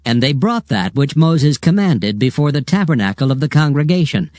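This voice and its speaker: A man narrating scripture from a script in a solemn, measured, formal manner. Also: fairly high pitch, sing-song, darkish tone, no echo. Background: music.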